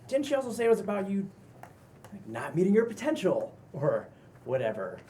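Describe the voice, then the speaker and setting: A man acts out a playfully sassy male roommate in a comedy-drama table read. He teases but is supportive, with a very animated, conversational delivery. There is faint noise in the room. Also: high-pitched, fairly quick. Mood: teasing, frank. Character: Max, exasperated, teasing, supportive male roommate and friend. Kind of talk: casual cold read of comedy-drama script.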